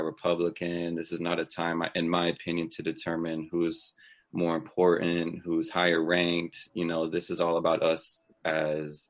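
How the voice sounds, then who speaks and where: Low-voiced upbeat young man. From Texas. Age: 20s. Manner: upbeat, chatty, with soft laughs. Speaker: guest. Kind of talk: casual video-call chat. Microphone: laptop on a video call.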